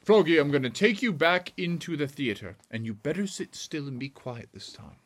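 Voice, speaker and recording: A woman's voice: deep voice, expressive, moderate pace. A woman voicing a stern, exasperated frog father in a children's story, scolding emphatically. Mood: weary.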